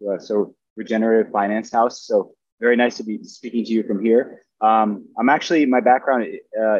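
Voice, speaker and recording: A man from Brazil speaking as a remote panelist on a video call, talking in a halting way with many fillers. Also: on the low side, darkish tone, no echo. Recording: dry, dull lo-fi call audio.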